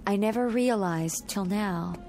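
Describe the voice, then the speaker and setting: A woman's voice: medium pitch, a little slow, music in the background, lightly acted. An actress playing an anxious young woman stranded in an eerie empty town in a radio drama, speaking naturally and hesitantly. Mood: uneasy.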